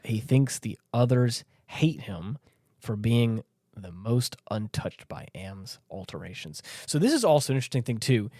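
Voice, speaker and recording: Male podcast host, mid-pitched voice, earnest, emphatic, repetitive for stress, casual discussion, dry sound. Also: big pitch swings, moderate pace, warm tone, dry recording.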